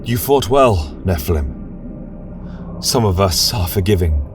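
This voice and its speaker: Guy doing a burnt bitter old-world warrior, cold n solemn, really slow, fantasy tale w music behind. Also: low voice, lively intonation, recorded from a distance. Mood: cold, sorrowful. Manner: slow, solemn, halting pronouncements. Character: scorched, grieving male warrior, bitter, cold, grimly merciful. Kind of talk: dramatic fantasy audio storytelling.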